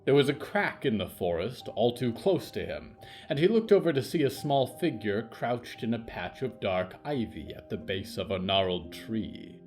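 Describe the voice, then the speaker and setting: A man's voice: medium pitch, big pitch swings, moderate pace, few pauses, faint music behind. A man narrating a scripted, atmospheric story as its narrator.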